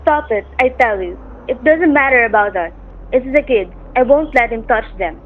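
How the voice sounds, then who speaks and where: A woman's voice: on the high side, expressive, normal speed. An actress playing an anxious, protective young wife and mother in radio melodrama, earnest and pleading, defiant when pushed. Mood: desperate, defiant. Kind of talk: old-time radio melodrama acting. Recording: tinny vintage radio recording, background noise.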